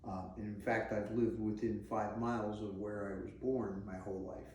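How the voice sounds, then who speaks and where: Mellow older man in an echoey room. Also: fairly low pitch, level tone. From New Jersey, USA. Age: 60s. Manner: rambling, halting storyteller. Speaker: interviewee sharing memories. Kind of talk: unscripted oral-history recollection. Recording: echoey room, mic at a distance, faint noise.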